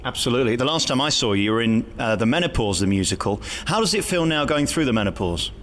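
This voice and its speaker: A man's voice: medium pitch, expressive, fast, fairly bright tone. A man interviewing a guest in a chatty celebrity interview style. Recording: background ambient noise.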